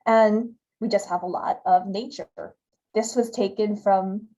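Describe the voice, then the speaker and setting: A woman's voice: medium pitch, steady tone, a little slow, mellow, rough recording. A woman from Hawaii giving a conversational talk over slides, with fillers and repeated words. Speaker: guest speaker giving a talk.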